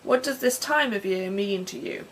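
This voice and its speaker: A young Englishwoman with a croaky throat, rambling casually through vlog questions from her bedroom with plenty of ums and restarts. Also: mid-range voice, normal speed, a little hiss or hum. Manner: chatty, self-deprecating, many ums and restarts. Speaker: pagan vlogger. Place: bedroom in front of her altar.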